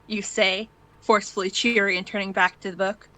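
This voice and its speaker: A woman's voice: mid-range voice, level tone, brisk, bright, forceful tone, poor audio. A woman narrating a piece of fan fiction in the second person, as an amateur reader.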